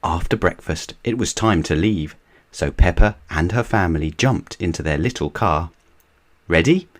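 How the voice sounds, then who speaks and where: A man reading a children's picture book aloud in a gentle, measured bedtime manner. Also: low-pitched. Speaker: reader of children's books.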